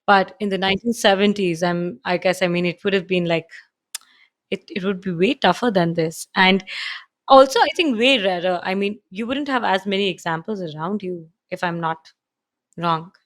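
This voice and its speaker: A woman's voice: medium pitch, normal speed. A woman hosting a podcast interview, chatty, thinking aloud with many fillers. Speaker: podcast host. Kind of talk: conversational interview.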